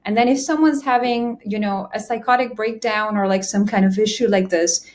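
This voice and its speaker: Measured woman, a guest interpreter. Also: medium pitch, steady tone, a little mellow. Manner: measured, emphatic, thoughtful. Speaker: interpreter association president. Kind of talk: conversational interview.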